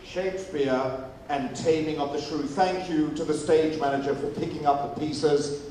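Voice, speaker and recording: Echoey male stage host, fairly high voice. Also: steady tone, normal speed, mellow. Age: 40s. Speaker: actor hosting audience Q&A after a show. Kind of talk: live stage question-and-answer hosting. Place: performance venue before a live audience. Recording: very echoey venue, distant mic, crowd noise.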